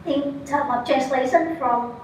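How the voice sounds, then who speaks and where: A woman's voice: high-pitched, measured pace, darkish tone, slight background noise. A woman with a Vietnamese accent giving an academic conference talk, explaining earnestly and often correcting herself mid-word. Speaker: conference presenter. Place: conference room. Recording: echoey hall, far from the mic, faint ambience.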